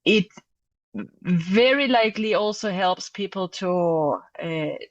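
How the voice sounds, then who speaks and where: A woman's voice: medium pitch, slow, dry recording. A woman, a journal editor, answering interview questions in a thoughtful, hesitant way with many fillers. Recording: dull, dry lo-fi audio.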